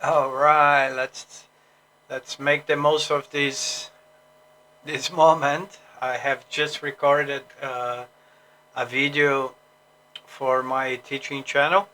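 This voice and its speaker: A man's voice: medium pitch, level tone, slow, bright, forceful tone, slight background noise. A man from Brazil with a Brazilian Portuguese accent, an English teacher rambling casually on a solo stream with many fillers and restarts.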